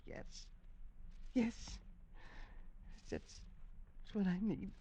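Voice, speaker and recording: A man's voice: high voice, wildly swooping pitch, drawn-out words, breathy edge, exaggerated voice. An actor playing a feverish man tormented by a vision, anxious and breaking into frantic cries, in 1940s radio drama style. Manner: anxious, swinging into frantic shouting. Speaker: lead character.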